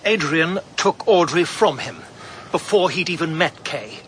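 Radio drama casting: a firm, loyal suitor, bright forceful voice, faint ambience. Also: male voice, fairly high pitch, lively intonation, unhurried, a little hiss or hum. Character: loyal blunt suitor, protective and steady. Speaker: Royd. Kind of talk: BBC radio drama acting.

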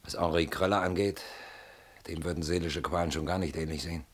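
A man's voice: low voice, fairly even intonation, a little fast, husky. An actor playing a haunted, defensive man in a radio play, speaking in a ruminating, bitterly ironic and theatrical way. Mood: brooding. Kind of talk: German radio play acting.

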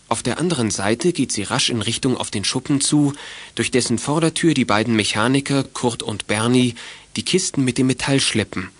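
A man's voice: mid-range voice, dry recording. A man narrating a children's audio play in a scripted storytelling style.

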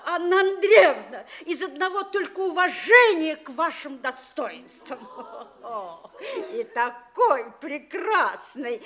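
Gushing, high-voiced, vain provincial society wife. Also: female voice, sharp tone, exaggerated voice. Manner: gushing, theatrical, self-admiring. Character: vain, affected provincial mayor's wife boasting to guests. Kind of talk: theatrical radio play acting.

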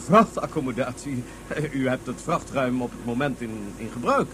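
A man's voice: medium pitch, animated, normal speed, close to an everyday voice. A man playing a courteous, secretive ruler travelling as a guest, speaking in formal, urbane phrases in a science-fiction radio play. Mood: courteous, probing. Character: courteous, smooth-talking male ruler, secretive guest aboard. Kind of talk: Dutch science-fiction radio drama acting. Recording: clear buzzing ship noise behind.

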